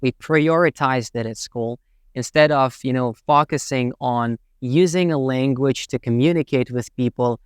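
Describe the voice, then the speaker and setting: Russian-accented young man with a smooth voice. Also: mid-range voice, normal speed, no room sound, studio quality. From Tatarstan, Russia. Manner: thoughtful, with many fillers like "you know" and "right". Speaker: English teacher, channel host. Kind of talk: reflective talk to camera.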